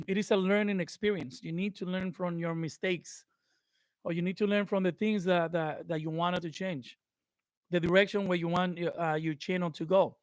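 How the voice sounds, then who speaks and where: High-voiced man, Spanish native speaker. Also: fairly even intonation, normal speed, no room sound, clean studio sound. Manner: hesitant, many fillers and restarts. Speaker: YouTube creator. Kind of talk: casual advice talk to camera.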